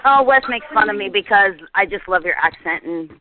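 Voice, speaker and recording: A woman's voice: medium pitch, animated, fairly quick, no echo. A woman from Cincinnati, Ohio, chatting playfully as a guest on a fan podcast. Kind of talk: casual chat over a call. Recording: tinny phone-like line.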